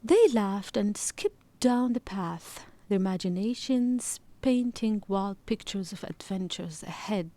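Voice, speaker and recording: A woman reading a children's story aloud in expressive scripted narration. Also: mid-range voice.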